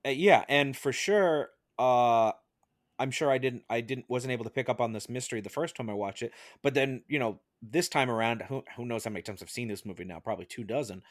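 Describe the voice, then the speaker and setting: A man's voice: mid-range voice, a little fast, no room sound, clean studio sound. A man in casual conversation, moderating and joining in on a film podcast. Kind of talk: casual chat.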